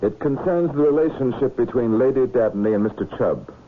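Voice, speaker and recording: An actor playing a composed murder investigator, asking measured, formal and insistent questions in a vintage radio drama. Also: male voice, medium pitch, expressive, close to an everyday voice. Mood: composed, probing. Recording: muffled, noisy old radio recording.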